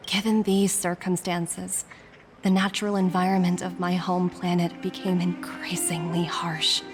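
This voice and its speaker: A woman voicing a composed corporate agent in a video game, reading a formal, earnest and persuasive letter in a measured way. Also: fairly low pitch, level tone, natural voice. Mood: measured, solemn. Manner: measured, formal, deliberate phrasing. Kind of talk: scripted game letter monologue. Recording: background music under the voice.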